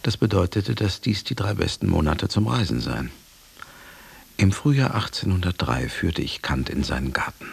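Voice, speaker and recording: A man playing a devoted attendant in a radio drama, narrating in a measured, formal and deferential manner. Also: low voice, animated, creaky, husky, full character voice. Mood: sober, measured. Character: devoted, sober male attendant recounting his master's decline.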